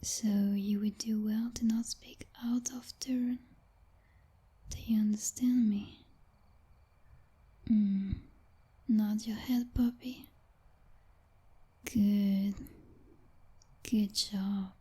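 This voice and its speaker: A woman's voice: mid-range voice, fairly even intonation, drawn-out words, long pauses, warm tone, slightly rough, full character voice. A woman playing a jealous, possessive vampire girlfriend in an ASMR roleplay, whispering breathily in a teasing, commanding and condescending way. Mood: teasing, domineering.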